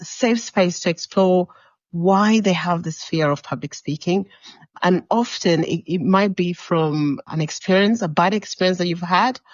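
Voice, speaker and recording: A woman's voice: lowish voice, no echo. Encouraging woman coach from Uganda, muffled livestream. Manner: encouraging, conversational, speaks to listeners directly. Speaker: motivational speaker and coach. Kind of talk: live-streamed talk to an online audience. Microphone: video call or livestream mic.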